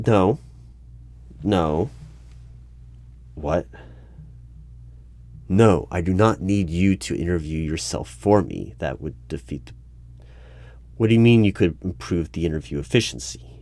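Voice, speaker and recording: A man playing an exasperated, argumentative hiring manager in an audio roleplay, speaking haltingly and in formal wording. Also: low voice, lively intonation, dark tone. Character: exasperated male human-resources hiring manager interviewing a robot. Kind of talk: one-sided audio roleplay.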